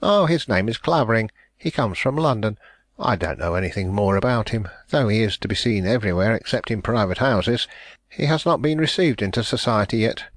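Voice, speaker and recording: A man's voice: fairly low pitch, expressive, brisk, dry recording, studio quality. A man voicing a worldly male society gossip, speaking with wry, knowing banter.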